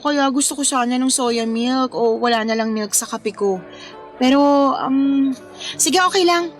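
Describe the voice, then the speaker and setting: A woman's voice: high-pitched, a little slow, soft background music. A woman acting in a Filipino radio drama as an accommodating young woman who is playful and coy with friends. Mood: mildly protesting.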